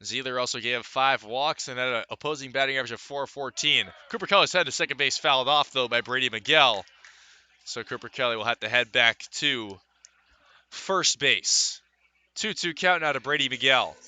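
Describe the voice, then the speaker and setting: A man calling live baseball play-by-play for radio from a ballpark, in measured phrases with pauses between plays. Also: medium pitch, sharp tone, dry recording. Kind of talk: live radio sports commentary.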